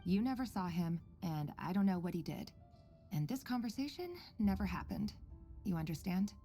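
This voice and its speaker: A woman playing an earnest, composed researcher in a video game, speaking in a measured, articulate and quietly caring way. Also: lowish voice, expressive, soft background music. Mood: gentle, guarded. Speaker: voice actor in a game story quest. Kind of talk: scripted game dialogue and log narration.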